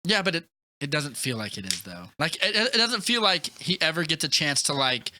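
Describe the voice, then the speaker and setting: Excitable man with a very bright, forceful voice. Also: fairly high pitch, moderate pace, no echo, studio quality. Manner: rambling, excitable, peppered with "like". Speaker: movie review podcast co-host. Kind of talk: casual enthusiastic film chat.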